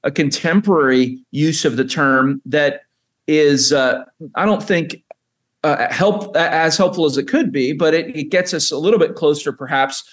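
A middle-aged man, a seminary president, appearing as a guest on a podcast and explaining ideas in a measured, careful way. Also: on the high side, a bit bright. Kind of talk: podcast interview.

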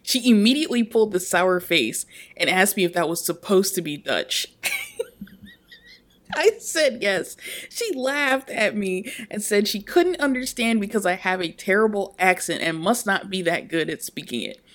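Lively woman reading a post aloud. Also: mid-range voice, normal speed. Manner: animated reading, laughing at herself. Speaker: podcast co-host.

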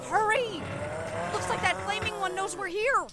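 A woman's voice: very high-pitched, normal speed, heavy background noise. A woman acting a frightened, stammering survivor of the undead in an audio horror drama, pleading and full of doubt. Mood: tense.

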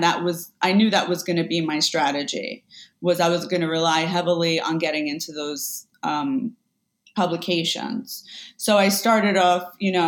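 A woman's voice: lowish voice, echoey room. A woman talking casually as an interview guest, rambling with lots of fillers. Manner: chatty, rambling, many fillers. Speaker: guest, online seller.

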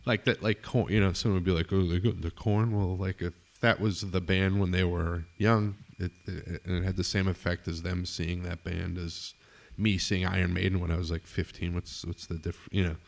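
A middle-aged man hosting a podcast, chatting casually with many fillers and trailing off quietly. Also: deep voice, little pitch movement, normal speed, creaky, hardly any pauses. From eastern United States. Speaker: podcast host. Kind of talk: casual interview chat.

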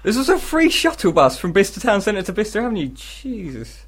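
A man's voice: sits high, sing-song, fast, breathy edge. A man co-hosting a radio show, chatting casually with jokey, dry sarcastic asides. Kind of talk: casual comic radio banter.

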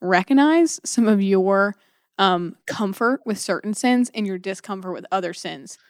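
A woman's voice: mid-range voice, animated, unhurried, no echo. Woman co-host in conversation, dry sound. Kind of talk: conversational discussion of a book.

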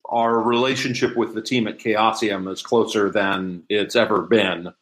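A man's voice: fairly low pitch, fairly even intonation, moderate pace, fairly bright tone. A middle-aged man answering interview questions in a casual, explanatory way. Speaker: interview guest, member of a hobbyist society.

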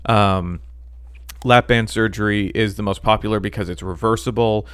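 A man co-hosting a podcast in casual chat, talking haltingly with many ums and restarts. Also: on the low side, fairly even intonation, fairly bright tone, clear, resonant tone, no echo, studio quality. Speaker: podcast co-host.

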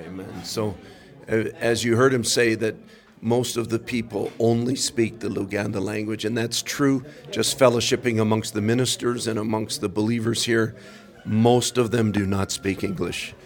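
Deep-voiced podcast host saying amen. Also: male voice, steady tone, normal speed, creaky. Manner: warm, affirming, frequent amens. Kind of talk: interview on a mission trip.

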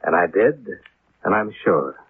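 Through the thin band of a 1950s radio broadcast comes a world-weary American investigator. He is clipped and deadpan, with a slightly rough edge to the voice. The delivery is hardboiled detective drama. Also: low voice, unhurried, no echo, exaggerated voice. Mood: probing, accusing. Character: terse, world-weary male insurance investigator. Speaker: lead radio actor and narrator. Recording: muffled, narrow-band vintage 1950s radio.

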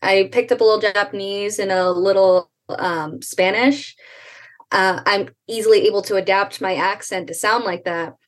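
A young American woman, a podcast guest, talking thoughtfully with frequent ums and pauses. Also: medium pitch. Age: young adult. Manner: thoughtful, hesitant, many ums. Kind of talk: casual interview.

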